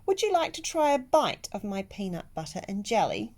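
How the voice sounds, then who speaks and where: A woman's voice: mid-range voice, very animated, moderate pace, a little mellow. A woman voicing a young schoolgirl from a picture book, blunt and emphatic but friendly. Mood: shy, friendly.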